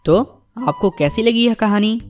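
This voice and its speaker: A woman's voice: fairly high pitch, a little slow, a little mellow, faint music behind. A woman delivering a scripted video channel message.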